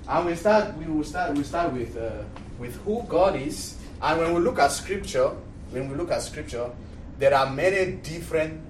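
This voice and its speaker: Cameroonian priest teaching in an echoey, noisy room. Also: male voice, medium pitch. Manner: repeats phrases for emphasis. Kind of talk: religious class lecture. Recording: distant mic, echoey room, loud noise.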